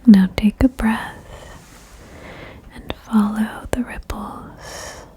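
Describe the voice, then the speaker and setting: A woman whispering a guided ASMR meditation in a breathy, soothing way, with gentle instructions and long pauses. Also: medium pitch, steady tone. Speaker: ASMR host guiding a relaxation game.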